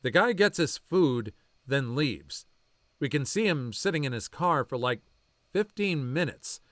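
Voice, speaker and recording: A man reading online stories aloud as a channel narrator, with a lively, swooping delivery. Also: medium pitch, measured pace, dry recording, studio quality. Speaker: story narrator.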